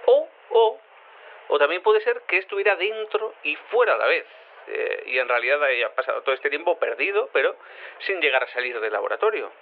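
A man's voice: a little high, very animated, brisk. An actor playing a confused male cat turned human, hesitant and stammering, rambling as an interviewee in an audio drama over a telephone line. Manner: hesitant, stammering, self-contradicting. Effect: telephone filter. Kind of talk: audio fiction acting as an interviewee. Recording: tinny phone line with noise.

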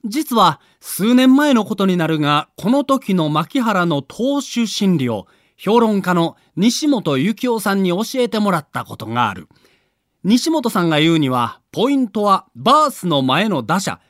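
A man narrating a documentary story as a vivid storyteller who builds up excitement. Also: high-pitched, a little slow, no room sound, clean studio sound. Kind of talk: dramatic scripted narration.

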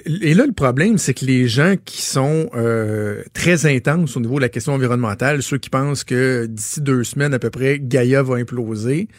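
A man with a Quebec French accent co-hosts a radio show in casual banter. He laughs often and spins animated anecdotes. His mid-range voice sits close on a dry studio mic. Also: mid-range voice, normal speed, hardly any pauses, no room sound. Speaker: radio co-host.

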